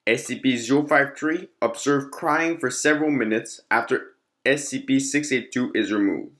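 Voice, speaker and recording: Male narrator, mid-range pitch, formal and measured, for scripted documentary-style fictional file readings. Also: a bit bright.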